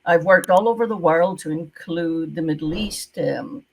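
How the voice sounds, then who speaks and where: A woman's voice: fairly low pitch, moderate pace, creaky, slight background noise, rough recording. A retired woman from Scotland with a Scottish accent, a former visa officer giving emphatic advice in an interview over a video call. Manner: emphatic, repeats key advice.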